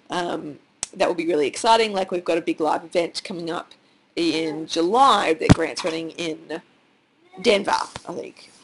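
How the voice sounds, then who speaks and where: Australian woman, sick with a cold and losing her voice; chatty, rambling, filler-heavy home walkthrough. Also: fairly low pitch. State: has a cold, nearly lost voice. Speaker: online course creator. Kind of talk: casual screen-share walkthrough. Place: at home with children nearby.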